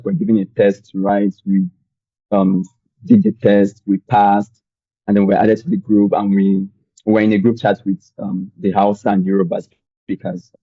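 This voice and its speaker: Young nigerian guy, lowish voice, relaxed rambly chat, dull dry recording. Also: normal speed, husky, no room sound. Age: young adult. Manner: relaxed, rambling, many fillers. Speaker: guest. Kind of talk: conversational storytelling.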